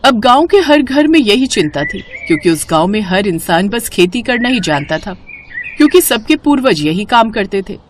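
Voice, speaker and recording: Female narrator for cartoon moral tales; highly animated, expressive delivery, faint background noise. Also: moderate pace. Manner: expressive storytelling. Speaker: story narrator.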